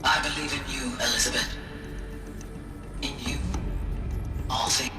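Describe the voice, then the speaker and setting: A woman playing a gentle, earnest artificial intelligence in a video game, speaking formally and precisely. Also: extremely low pitch, fairly even intonation, unhurried. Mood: gentle, reassuring. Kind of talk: scripted video game drama. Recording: echoing, distant, with music underneath.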